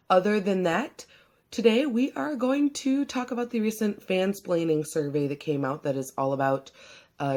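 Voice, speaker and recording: A young woman with a notably low voice talks to her book vlog audience in a relaxed, rambling way. She sounds congested from a cold coming on. She is chatty and explanatory, with frequent ums and uhs between thoughts. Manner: chatty, explains at length with many fillers. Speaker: BookTube vlogger. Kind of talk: casual vlog commentary.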